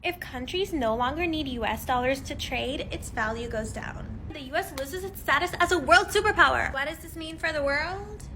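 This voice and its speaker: A woman explaining world finance in a chatty way, using high-school analogies, in a clip played from a social video. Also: moderate pace, few pauses, bright, forceful tone, a little hiss or hum.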